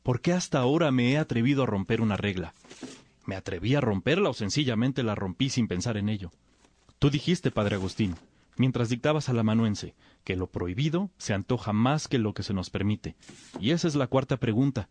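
A man playing an earnest, bookish young disciple in a radio drama, with a husky voice and deferential manner. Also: medium pitch, expressive, a little fast, darkish tone, no echo. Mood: earnest, questioning.

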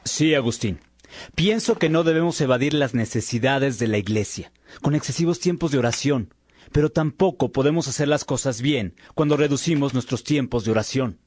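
Actor as husky, frank, concerned bishop. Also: male voice, mid-range voice, normal speed, a little mellow, dry recording, close to an everyday voice. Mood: earnest. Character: Posidio, concerned, frank friar-bishop and loyal friend. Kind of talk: religious radio drama dialogue.

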